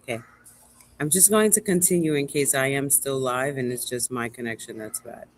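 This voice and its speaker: A woman hosting a relaxed live interview, speaking emphatically and repeating words for stress. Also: very deep voice, normal speed. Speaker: live show host and museum board president. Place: remote video call livestream.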